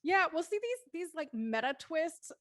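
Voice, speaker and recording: A woman's voice: very high-pitched, sing-song, a little slow, dry recording, clean studio sound. A woman hosting a casual group discussion, talking informally as moderator. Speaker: host of a cast post-show discussion.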